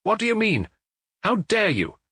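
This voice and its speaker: A man's voice: high voice, wildly swooping pitch, a little slow, a bit bright, slightly rough, no echo, clean studio sound, pitched above the performer's own voice, heavily acted. A man voicing a grandiose, furious American charlatan prophet in an audiobook detective story, ranting shrilly. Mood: frantic, enraged. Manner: ranting, indignant, bombastic.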